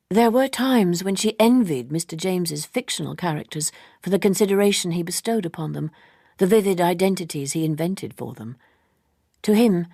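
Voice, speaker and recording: A woman reading literary narration as an audiobook narrator, in a measured, precise and formal way. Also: on the low side, vocal fry, mellow, breathy edge, no echo, clean studio sound.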